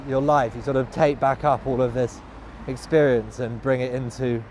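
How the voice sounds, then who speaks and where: A man talking in a thoughtful, halting way, with frequent restarts, while walking outdoors. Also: medium pitch, fairly even intonation, a little mellow, slight background noise. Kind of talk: casual conversation. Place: walking outdoors by a cycle path in a city.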